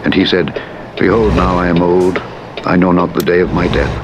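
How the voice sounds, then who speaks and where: A man narrating the Bible as a scripted reading, in a solemn, measured manner. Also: low-pitched, fairly even intonation. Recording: loud truck engine noise over playback. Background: virtual truck driving sounds from a simulator game.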